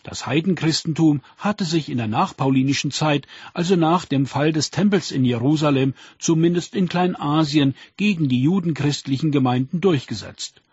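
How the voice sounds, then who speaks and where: A man reading a scripted radio documentary narration in a measured, even way. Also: fairly high pitch, slightly rough, dry recording. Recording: dull lo-fi radio sound.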